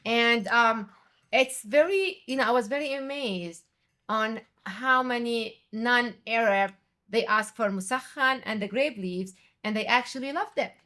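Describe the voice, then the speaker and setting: A middle-aged woman from Jordan, a restaurant owner and chef, speaking English as an in an animated, conversational interview. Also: fairly high pitch, a little slow. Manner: animated, quotes others with raised voice.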